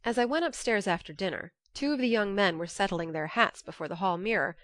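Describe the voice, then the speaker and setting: A woman audiobook narrator giving an expressive first-person reading of literary fiction. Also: mid-range voice, a little fast, dry recording, studio quality.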